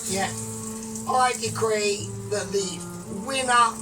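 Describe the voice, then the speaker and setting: A woman with a croaky voice, chatting casually while she cooks in her home kitchen, rambling and tagging "right?" onto her sentences as she judges a bake-off. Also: medium pitch, takes it slowly. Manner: rambling, keeps asking "right?", repeats herself. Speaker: home cook and vlogger. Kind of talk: casual cooking commentary, judging a bake-off. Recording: distant mic with clear music behind. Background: music.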